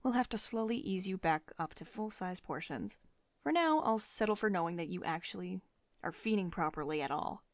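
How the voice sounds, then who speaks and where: A woman's voice: fairly low pitch, lively intonation, moderate pace, dry recording. A woman acting a devoted lady CEO, close and dry. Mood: pleased, gently bossy. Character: commanding, protective female tech CEO, secretly devoted and tender. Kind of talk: ASMR girlfriend roleplay, intimate acted monologue.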